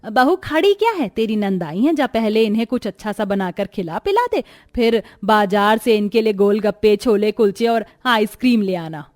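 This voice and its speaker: A woman voicing a domineering middle-aged mother-in-law in a cartoon story, sharp and nagging in manner. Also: medium pitch, sing-song, moderate pace, few pauses, close to an everyday voice. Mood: scolding. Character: haughty, scolding middle-aged Indian mother-in-law, doting on her daughters. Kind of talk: acted Hindi cartoon story dialogue.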